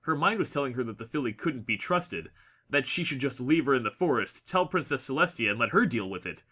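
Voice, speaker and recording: Guy doing audiobook narration, really bright forceful voice, medium pitch, expressive storytelling. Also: brisk, slightly rough. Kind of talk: scripted dramatic story narration.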